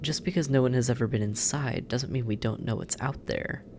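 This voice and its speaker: A performer in a roleplay audio drama voicing a sardonic, teasing demon captor who drawls and murmurs with heavy pauses. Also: medium pitch, background noise, lightly acted. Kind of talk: scripted roleplay audio drama, intimate second-person address.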